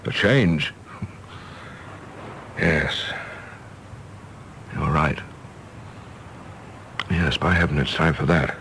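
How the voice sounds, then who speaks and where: An actor playing a disillusioned middle-aged English diplomat, bitter and self-mocking, in an impassioned radio-drama style. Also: male voice, rumbling low, extremely slow, gravelly, long pauses, fairly bright tone, slight background noise, exaggerated voice, poor audio. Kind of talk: stage-play radio drama acting.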